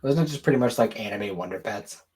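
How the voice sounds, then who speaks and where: A man's voice: fairly low pitch, animated, fast talker, a little mellow. A man co-hosting a podcast, talking casually and offhandedly in an informal conversational way. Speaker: podcast co-host. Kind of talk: casual chat about anime and games.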